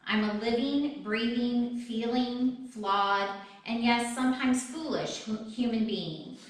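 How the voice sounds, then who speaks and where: Echoey, higher-pitched woman reading to an audience. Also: fairly bright tone. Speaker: author reading her essay excerpt aloud. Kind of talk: expressive reading of a personal essay to an audience. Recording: very echoey room, distant microphone.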